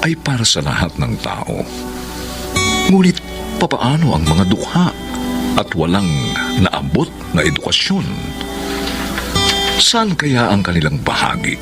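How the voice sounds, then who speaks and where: A man's voice: deep voice, wildly swooping pitch, measured pace, long pauses, full character voice. A man narrating a radio drama in a solemn, theatrical manner. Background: loud guitar music.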